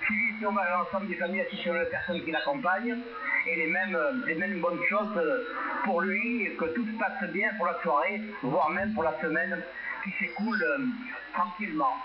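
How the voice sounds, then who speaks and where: A man's voice: high-pitched, normal speed. A man, an amateur radio operator, chatting in a courteous, wordy way over a radio transmission. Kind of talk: casual radio contact chat. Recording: very noisy, dull radio transmission.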